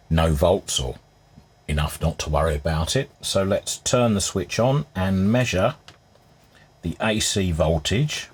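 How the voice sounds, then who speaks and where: Male hobbyist restorer, very low and husky, methodical matter-of-fact demonstrator. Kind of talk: hands-on repair narration, semi-scripted demonstration.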